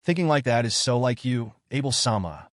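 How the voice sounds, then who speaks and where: A man voicing a soft-spoken gentle young woman. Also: medium pitch, lively intonation, normal speed, no echo, clean studio sound, lightly acted. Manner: soft, gentle and warmly polite.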